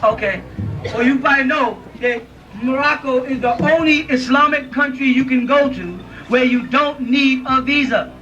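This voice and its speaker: A man's voice: extremely high pitch, moderate pace, bright, forceful tone, a little hiss or hum. A man giving an emphatic, informal lecture to a live audience in a room, often checking in with his listeners. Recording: dull lo-fi audio from a distant mic.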